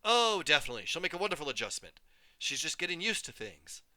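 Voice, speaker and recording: A man voicing a cheerful, encouraging mother in a high, sing-song voice. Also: very animated, fast, pushed and bright, no room sound, heavily acted. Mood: reassuring. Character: cheerful encouraging mother, middle-aged woman.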